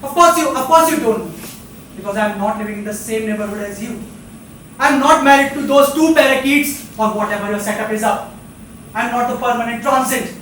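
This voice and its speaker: Guy acting a needy intense loner in a stage play, really high voice, theatrical n halting, super echoey, far from the mic. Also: a bit bright, a little hiss or hum. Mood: bitter. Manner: theatrical, halting, repeats himself insistently. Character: intense, lonely, needy male drifter pouring out his life story. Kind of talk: live stage drama acting. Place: indoor theatre stage, recorded from a distance. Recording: echoey theatre, distant mic, music behind.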